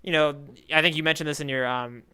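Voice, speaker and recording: A man's voice: fairly high pitch, steady tone, fast, pushed and bright, smooth. A man co-hosting a casual manga podcast, chatting in an enthusiastic, rambling way with frequent exclamations. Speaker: podcast co-host, the newcomer reader. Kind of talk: casual chat about a manga.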